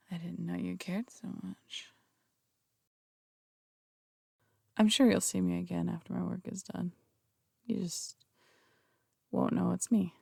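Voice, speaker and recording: A woman's voice: fairly low pitch, gravelly, darkish tone, no echo, clean studio sound, exaggerated voice. A woman playing a flirtatious, theatrical female phantom thief in an intimate audio roleplay, coaxing and teasing, with slight stammers. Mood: earnest, tender. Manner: coaxing, teasing, hesitant stammers between polished phrases. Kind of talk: intimate audio roleplay, acted monologue to listener.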